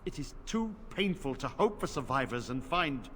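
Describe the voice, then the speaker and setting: A man's voice: medium pitch, very animated, moderate pace, a bit bright. Stern knight commander, middle-aged man, video game, grave commands, faint hiss. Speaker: game character.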